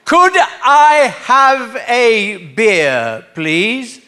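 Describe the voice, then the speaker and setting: A male comedian acts out an Englishman abroad in a comic gag. He pitches his voice up, loud and bright, and stretches each word extremely slowly, over-enunciating so that foreigners understand. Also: sing-song, heavily acted. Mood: painstakingly slow.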